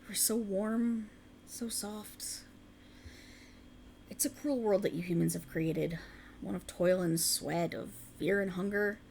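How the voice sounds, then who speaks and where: Woman performing an ancient faerie enchantress for ASMR roleplay: creaky voice, courtly old-world phrasing, soothing, possessive, ambient noise underneath. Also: medium pitch, takes it slowly, a little mellow. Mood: gentle, sympathetic. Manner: soothing, formal archaic phrasing, coaxing. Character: ancient alluring female faerie, tender yet sinisterly possessive.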